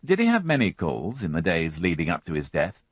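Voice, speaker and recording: A man voicing a patient, weary male chief inspector who questions calmly and evenly, in a storybook reading. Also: low-pitched, brisk, breathy edge, no room sound, heavily acted. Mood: questioning. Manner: calm, level questioning. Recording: dull, dry studio audiobook.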